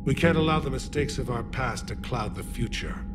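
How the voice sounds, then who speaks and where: An actor playing a dignified male alien military leader who speaks in a formal, measured, guarded way. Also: medium pitch, over music, lightly acted. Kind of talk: video game voice acting.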